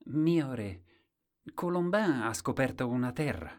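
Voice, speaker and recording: A man's voice: medium pitch, big pitch swings, unhurried, a little mellow, voice pushed up. A man voicing a meek, candid and eager court fool in a storybook tale, simple and earnest in manner.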